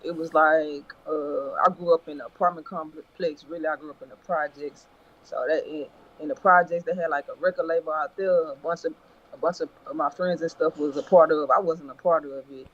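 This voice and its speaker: Laid-back Texan rapper on a dull line. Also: male voice, a little high, fairly even intonation, a bit bright. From Beaumont, Texas. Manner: laid-back, unhurried storytelling. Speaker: rapper, interview guest. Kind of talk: casual interview. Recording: dull remote call line with faint noise.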